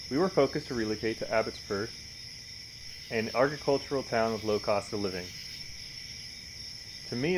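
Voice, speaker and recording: A man's voice: mid-range voice, noisy background. A man casually commenting on a game and reading its text aloud, with wry, sarcastic reactions. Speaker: video game streamer.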